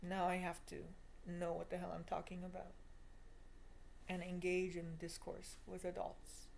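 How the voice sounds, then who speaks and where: A woman's voice: on the low side, completely flat delivery, unhurried, vocal fry, darkish tone, a little hiss or hum. A woman of twenty giving a confessional video diary monologue in an emphatic, repetitive, self-deprecating way. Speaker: vlogger.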